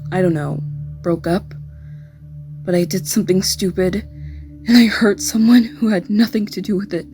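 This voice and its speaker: A woman acts out a moody young dark fairy with a low, very soft-edged, mellow voice. She talks in a hesitant, sardonic way, as in a conversational fantasy roleplay, with music underneath. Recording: music behind the voice.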